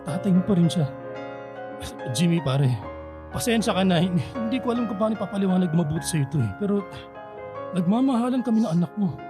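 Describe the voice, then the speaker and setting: A Filipino man acting as a conflicted middle-aged lover and family friend, earnest and placating, with a muted husky voice in a radio drama. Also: high-pitched, animated, quick pace, over music. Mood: apologetic. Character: conflicted older boyfriend, an apologetic family friend.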